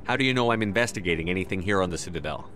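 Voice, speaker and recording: Game role: sceptical male soldier in command, deep, quick delivery, direct and authoritative. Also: lively intonation, fairly bright tone, faint music behind. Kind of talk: video game dialogue acting.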